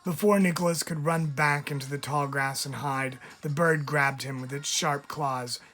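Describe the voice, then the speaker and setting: A man's voice: on the high side, steady tone, normal speed, a bit bright. Man reading a children's story over music. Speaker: teacher reading a picture book aloud. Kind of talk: expressive read-aloud narration for children. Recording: music under the voice.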